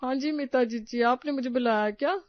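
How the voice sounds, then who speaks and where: A gossipy middle-aged neighbour woman, put on by a storyteller, agrees eagerly in a fairly high voice. She is fawning and sarcastic, in an audio tale with dry, slightly dull sound. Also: normal speed, no echo, lightly acted. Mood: fawning, mocking.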